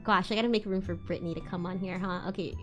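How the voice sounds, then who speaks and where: A woman's voice: on the low side, fairly even intonation, fast, a bit bright, faint music behind. A woman hosting a livestream dramatic reading of fan fiction, giggly and sweary, with asides. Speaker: livestream host.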